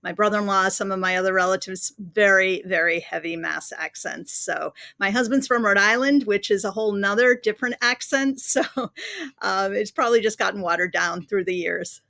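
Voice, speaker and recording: A woman's voice: medium pitch, normal speed, vocal fry, pushed and bright, no room sound. Chatty woman reporter, dry close mic. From Massachusetts. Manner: chatty, conversational with fillers. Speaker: guest political reporter. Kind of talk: casual podcast interview.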